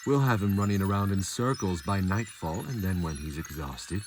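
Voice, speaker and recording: A man playing a smug, scheming villain commander in an audio drama, speaking with slow, gloating menace. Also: low voice, background noise, close to an everyday voice. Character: scheming male villain commander plotting an ambush.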